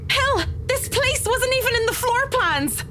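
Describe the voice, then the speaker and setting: A traumatized, sharp-tongued survivor of a haunted mansion in a visual novel. Her voice sits very high and leaps around with frantic, pleading urgency. There is noise faintly behind her. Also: animated, measured pace, heavily acted. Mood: panicked. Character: panicked, traumatized woman escaping a haunted mansion. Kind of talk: visual novel game voice acting.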